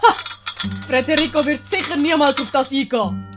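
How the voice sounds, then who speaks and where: A woman's voice: sits high, normal speed, over music, pitched above the performer's own voice, close to an everyday voice. A woman voicing a cheeky, defiant little trickster in an audio story, blurting out words indignantly. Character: cheeky, defiant caged trickster, Commedia dell'arte servant.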